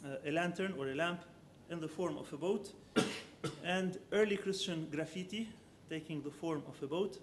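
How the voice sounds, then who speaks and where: A man, a professor of architecture, giving an academic lecture with slides, speaking in a measured way that thinks aloud and often corrects himself. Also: a little high, slight background noise.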